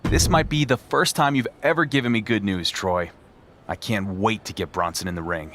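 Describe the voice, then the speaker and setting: A man's voice: fairly low pitch, moderate pace, fairly bright tone, slight background noise, close to an everyday voice. A man playing a confident, defiant pro wrestling champion in a video game cutscene, talking with cocky determination. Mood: dry, cocky. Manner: cocky, determined promo delivery.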